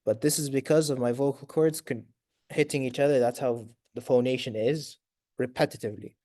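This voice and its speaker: A man lecturing medical students in a raspy voice, quizzing them and praising answers, with Arabic fillers between points. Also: mid-range voice, steady tone, brisk, dark tone, dry recording, clean studio sound. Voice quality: raspy from long talking. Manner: sprinkles Arabic fillers like tamam, inshallah.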